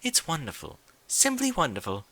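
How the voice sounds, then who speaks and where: A man's voice: fairly high pitch, huge pitch swings, normal speed, gravelly, bright, forceful tone, pitched above the performer's own voice, full character voice, rough recording. A man voicing an elegant, refined female unicorn pony in a story, with a sultry, effusive, theatrical manner. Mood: gushing.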